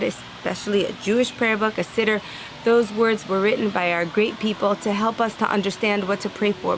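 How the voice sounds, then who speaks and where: A woman's voice: medium pitch, a little hiss or hum, low-quality recording. Earnest woman giving a spiritual talk. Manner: earnest, animated, acts out inner voices; laughs lightly now and then. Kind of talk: inspirational religious talk.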